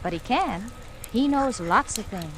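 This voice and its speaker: A woman's voice: a little high, lively intonation, a little slow. An actress playing an affectionate wife in 1940s radio drama, coaxing and chattering playfully to her pet dog. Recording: noisy old 1940s radio recording.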